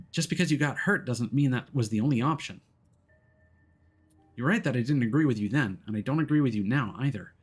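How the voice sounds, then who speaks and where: Man reading as earnest, husky, worried female teammate. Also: medium pitch. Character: Rinko, concerned, firm woman teammate. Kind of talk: visual novel character reading.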